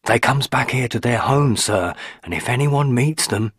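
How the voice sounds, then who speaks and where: A man's voice: medium pitch, expressive, moderate pace, breathy edge, dry recording, clean studio sound, pitched above the performer's own voice. A man voicing an elderly, stubborn, superstitious rustic housekeeper woman who speaks in country dialect. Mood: ominous. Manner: rustic dialect grammar, hushed ominous.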